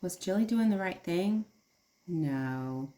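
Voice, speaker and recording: Slow-talking woman teacher reading to preschoolers. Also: on the low side, lively intonation, warm tone. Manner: simple explanations with questions to young children. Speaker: early childhood teacher reading aloud. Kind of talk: children's read-aloud lesson.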